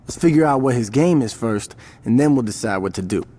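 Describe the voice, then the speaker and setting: An actor playing a cocky, shrewd young gang lieutenant in a video game, with casual street-slang talk. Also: male voice, mid-range voice, animated, a little fast, dark tone, big-room sound, close to an everyday voice. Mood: cool, sizing up. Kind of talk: video game cutscene acting.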